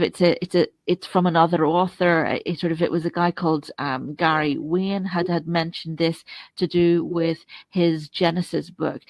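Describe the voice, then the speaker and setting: A woman interviewer with a fairly low voice, recorded close and dry. She talks in a rambling, conversational way, often circling and repeating a phrase before she gets to the point. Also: vocal fry, no echo. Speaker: interview host.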